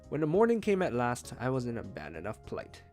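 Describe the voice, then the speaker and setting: A man reading a novel aloud as a streamed read-along, with expressive, emphatic phrasing. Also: mid-range voice, fairly quick, faint music behind. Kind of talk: read-along audiobook narration.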